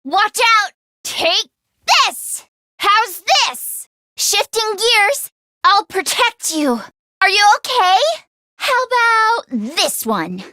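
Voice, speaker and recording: A woman's voice: very high voice, big pitch swings, extremely slow, sharp tone, dry recording, studio quality, full character voice. A woman voicing a plucky, earnest teenage girl mechanic in a video game, speaking emphatically and easily flustered. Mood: determined, spirited. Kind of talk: video game voice acting, battle barks.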